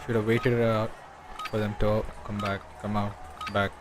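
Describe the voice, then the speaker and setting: A man's voice: lowish voice, little pitch movement, a little slow, loud music behind the voice. A man whose first language is Tamil, thinking aloud in casual commentary while he plays a shooter game on a livestream.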